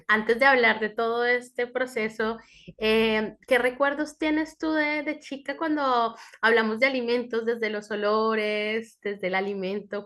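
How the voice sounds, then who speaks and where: A woman hosting an interview, introducing her guest and asking questions in an affirming way. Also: on the high side. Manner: warm, affirming, asks questions with tag "¿no?". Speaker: interview host.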